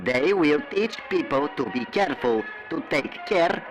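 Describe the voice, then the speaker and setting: An actor playing the herald of a totalitarian party, making formal, official propaganda announcements in an audio drama. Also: male voice, a little high, lively intonation, a little slow, over music. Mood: official, declarative.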